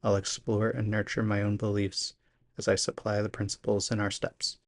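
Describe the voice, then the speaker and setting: A man reading a daily meditation aloud for a podcast in a measured, even delivery. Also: lowish voice, gravelly. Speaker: podcast reader.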